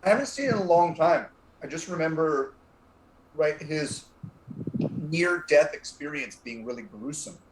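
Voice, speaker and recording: A man's voice: a little high, expressive, normal speed. A man chatting casually as a guest on a panel. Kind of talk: casual chat. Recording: roomy, clear background noise.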